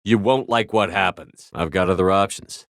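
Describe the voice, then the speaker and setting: Tough space soldier hero for a video game, deep voice, blunt and commanding, super dry studio sound. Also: male voice, normal speed, fairly bright tone, no room sound. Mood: measured, confident. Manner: firm, clipped, authoritative delivery. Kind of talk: scripted video game voice acting.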